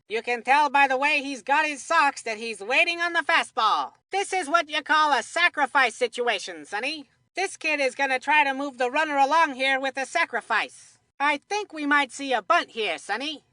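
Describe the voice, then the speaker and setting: High, bright kid sportscaster. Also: female voice, expressive, moderate pace, hardly any pauses, no echo. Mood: folksy analysis. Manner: folksy, earnest announcer delivery. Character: eager, chatty kid sports commentator boy. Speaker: sports commentator. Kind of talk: cartoon game sportscast commentary.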